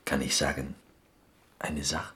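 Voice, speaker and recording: An actor playing an earnest, excitable Greek prisoner in a radio drama, telling stories insistently in halting, broken speech with a Greek accent. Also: male voice, very deep voice, full character voice. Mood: insistent, hushed. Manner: halting broken German, insistent, half-whispered storytelling.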